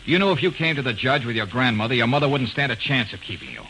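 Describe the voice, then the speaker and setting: An actor playing a tough, wry young private detective in a 1940s style radio drama, with an American accent. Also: male voice, mid-range voice, lively intonation, quick pace, sharp tone, poor audio. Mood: firm, scolding. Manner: wry, blunt. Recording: hissy vintage radio recording.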